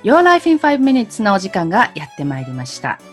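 A woman's voice: mid-range voice, very fast, over music. A woman, hosting a friendly interview and laughing often as she chats. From Japan. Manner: animated, chatty, laughs often. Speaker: podcast host. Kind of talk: casual interview chat.

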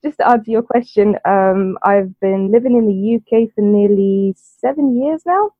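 A woman's voice: mid-range voice, no room sound. Woman on a tinny line. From Romania. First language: Romanian. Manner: measured and articulate. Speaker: interview guest, interpreter. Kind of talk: casual interview chat.

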